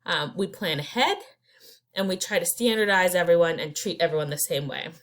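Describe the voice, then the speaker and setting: A woman's voice: on the low side, a little fast. A woman teaches from her slides. She keeps it conversational and unpolished, with fillers and restarts between her points. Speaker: instructor. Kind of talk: recorded slide lecture.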